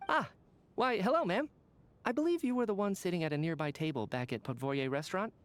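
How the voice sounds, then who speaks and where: A man's voice: high voice, lively intonation, fast talker. An actor voicing a chatty, easygoing and polite male official in a video game, talkative and theatrical. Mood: breezy, charming. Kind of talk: video game character dialogue.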